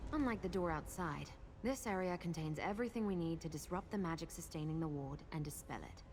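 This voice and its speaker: A confident, resourceful adventuring woman voiced by an actress guides the player through a fantasy game. Her voice sits on the low side, and she explains each step in a practical, matter-of-fact way. Game ambience runs as a noise behind her. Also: natural voice. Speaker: companion guide character in a fantasy video game. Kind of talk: scripted video game dialogue.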